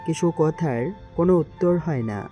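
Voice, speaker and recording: A man playing a calm, cryptic young secret gang boss in an audio story, in a mellow voice. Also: a little high, normal speed, dull audio, faint music behind, close to an everyday voice. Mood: calm, fatalistic.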